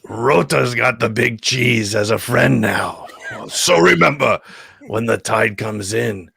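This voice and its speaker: A man's voice: medium pitch, takes it slowly, gravelly, pushed and bright, husky, full character voice. A man voicing a greedy, scheming alien crime-lord king in an imperious, mocking way at a comedic table read. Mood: smug, scheming. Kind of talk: comedic live table read of a fan script.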